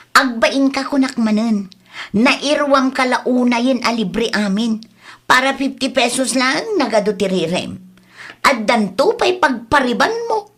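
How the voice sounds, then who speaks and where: A woman's voice: a little high, pushed and bright, full character voice. A woman with a Filipino accent playing a fed-up, bossy, nagging village woman in a comedy skit. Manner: nagging, scolding. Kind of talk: Ilocano comedy skit acting.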